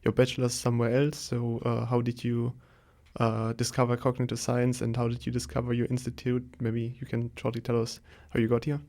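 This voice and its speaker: Man with a gravelly voice asking questions. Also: mid-range voice, monotone, brisk, darkish tone. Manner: brief prompts and questions. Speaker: podcast interviewer. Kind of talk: interview questions.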